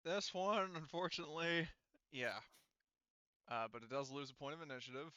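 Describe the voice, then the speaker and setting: A man's voice: a little high, expressive, moderate pace, sharp tone, smooth, no room sound, highs cut off. A man acting as game master in a casual tabletop roleplaying session, thinking aloud as he works through rules and counts.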